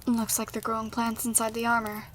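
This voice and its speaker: A woman playing a calm, analytical young detective in an audio drama, speaking in a composed, matter-of-fact way. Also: fairly high pitch, brisk, slight background noise, close to an everyday voice. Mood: composed, deductive. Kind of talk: amateur audio drama dialogue.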